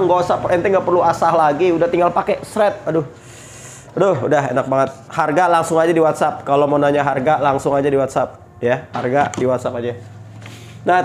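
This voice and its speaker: A man's voice: a little high, slight background noise. A man with a stuffy nose from a cold, chatting upbeat as he shows off knives for sale. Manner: chatty, upbeat selling patter with pious exclamations. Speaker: knife seller. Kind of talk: casual product showcase.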